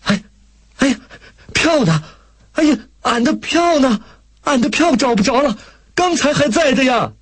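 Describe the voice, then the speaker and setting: A man's voice: sits high, wildly swooping pitch, moderate pace, darkish tone, husky, voice pushed up, exaggerated voice. A man voicing a flustered middle-aged rural woman from in an audiobook story, exclaiming with worry or gratitude. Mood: panicked, tearful. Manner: flustered, exclaiming, effusively grateful. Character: distraught middle-aged rural Shandong woman who lost her ticket.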